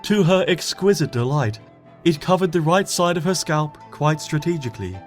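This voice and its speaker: A man reading a fan story aloud as an expressive narrator, often dropping to a hush at sentence ends. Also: on the high side, normal speed. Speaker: fanfiction reader. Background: soft background music.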